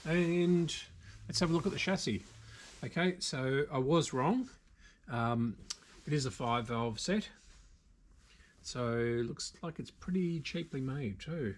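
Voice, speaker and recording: An Australian man giving casual, unscripted hands-on repair commentary, halting now and then as he thinks aloud. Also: mid-range voice. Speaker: video host, radio repairer. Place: indoor workshop.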